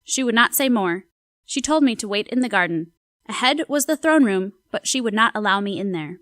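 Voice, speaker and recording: A woman voicing a 1960s anthropologist who reads her field journal in a measured, reflective way. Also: mid-range voice, lively intonation, fairly bright tone, no echo, studio quality, lightly acted. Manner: measured, reflective diary reading. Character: female anthropologist in the 1960s, curious and uneasy. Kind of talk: dramatic reading of a journal.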